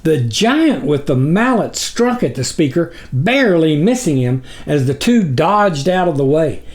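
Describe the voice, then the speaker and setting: A man's voice: a little high, very animated, unhurried, a little mellow. A man reading his own book aloud as a dramatic audiobook narrator.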